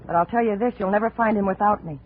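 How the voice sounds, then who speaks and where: A woman's voice: mid-range voice, fast, lightly acted. Woman playing an insistent, lovelorn radio drama woman. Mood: defiant. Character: intense, obsessive lovelorn woman in the tropics. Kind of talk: old-time radio drama acting. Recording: muffled, faintly noisy vintage radio recording.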